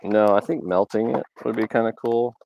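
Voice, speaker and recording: A man's voice: fairly low pitch, steady tone, normal speed, creaky, muffled recording, background noise. A young man from Georgia in the US South, casually rambling on a livestream with lots of fillers and little laughs. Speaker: livestream host. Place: outdoors.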